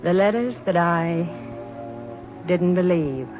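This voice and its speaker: A woman's voice: lowish voice, expressive, slow pace, lo-fi sound. Solemn, wounded wartime mother over music. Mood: solemn, bitter. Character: wounded, proud wartime mother telling her story to her son. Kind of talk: 1940s radio drama acting.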